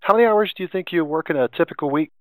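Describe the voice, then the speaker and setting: A man hosting an interview podcast, asking direct, measured questions. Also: on the high side, a bit bright, no echo.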